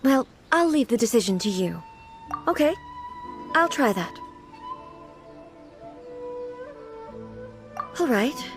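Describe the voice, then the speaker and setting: An actress playing a dignified, pensive female ruler in a video game, speaking formally and deliberately. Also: fairly high pitch, sing-song, faint music behind. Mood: awkward, hesitant. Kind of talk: scripted video game dialogue.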